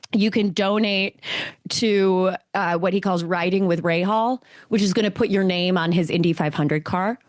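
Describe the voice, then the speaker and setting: A woman's voice: on the low side, no echo, studio quality. A woman co-hosting a casual motorsport podcast, speaking in an earnest, enthusiastic way. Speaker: podcast co-host.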